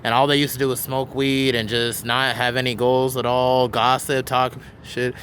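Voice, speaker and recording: A man's voice: medium pitch, flat delivery, normal speed, a bit bright, slight background noise. A man in his twenties hosting a podcast, chatting casually and telling his own story in an earnest, talkative way. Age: 20s. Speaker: podcast host. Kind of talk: casual interview chat.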